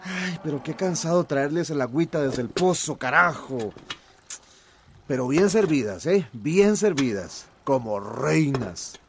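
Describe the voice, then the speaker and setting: A man's voice: on the high side, lively intonation, unhurried. A man playing an affectionate, weary farmer in a radio drama, doting and grumbling as he talks. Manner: doting, grumbling, sighing to himself. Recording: clearly noisy farm soundscape with cattle.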